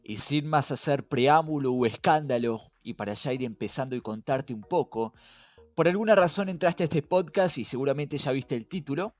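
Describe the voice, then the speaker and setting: A young man with a Rioplatense accent co-hosts a chatty podcast. He speaks at a middling pitch and stresses his points, often addressing the listener with rhetorical questions. Faint music plays behind him. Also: normal speed. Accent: Rioplatense Spanish. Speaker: podcast co-host. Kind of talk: conversational podcast hosting. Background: background music.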